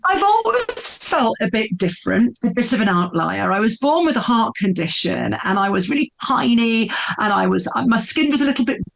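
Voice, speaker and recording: A woman's voice: on the high side, big pitch swings, normal speed, vocal fry, hardly any pauses. A middle-aged woman, a podcast guest telling her story in an earnest, emphatic way, often repeating words for effect. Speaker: podcast guest, speaker and coach. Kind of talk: candid storytelling interview.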